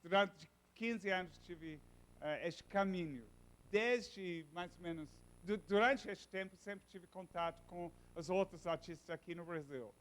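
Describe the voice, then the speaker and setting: Englishman lecturing in halting Portuguese. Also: sits high, fairly bright tone. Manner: halting, searches for words, many restarts. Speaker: university lecturer. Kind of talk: informal classroom lecture.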